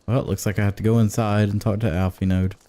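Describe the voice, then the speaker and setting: A man's voice: fairly low pitch, flat delivery, fast talker, mellow. A man hosting a casual gaming livestream, chatting playfully and joking as he plays. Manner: playful, jokey. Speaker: livestream host playing a game. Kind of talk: casual gaming stream chat. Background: music.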